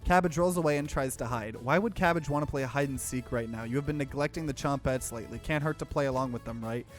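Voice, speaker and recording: A man's voice: mid-range voice, quick pace, soft background music. A man hosting a let's play, reading visual novel narration aloud and adding casual commentary. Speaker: gaming let's play host.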